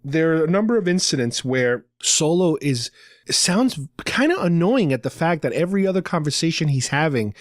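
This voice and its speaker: A man's voice: fairly high pitch, animated, moderate pace, dry recording, clean studio sound. A man hosting a show, explaining things conversationally in a casual fan discussion with a co-host. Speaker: podcast host.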